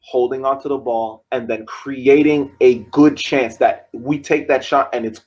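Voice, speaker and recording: A man with a husky, breathy voice debating soccer tactics on a podcast. He presses his point, repeats himself and keeps talking over the others. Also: medium pitch, steady tone, measured pace, a little mellow, poor audio. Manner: argumentative, talks over others, repeats phrases. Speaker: podcast co-host. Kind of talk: casual sports debate.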